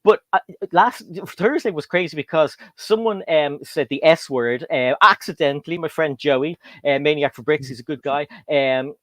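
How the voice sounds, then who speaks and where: Chatty Irish man, fairly high voice. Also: normal speed, hardly any pauses, fairly bright tone, no echo. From Ireland. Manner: chatty, rambling with many fillers and restarts. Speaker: guest host. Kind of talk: casual livestream chat.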